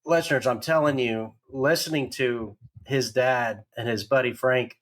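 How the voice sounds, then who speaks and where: A man's voice: medium pitch, fairly even intonation, measured pace, a bit bright. A man hosting a podcast interview, asking questions in a casual, enthusiastic way. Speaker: podcast host.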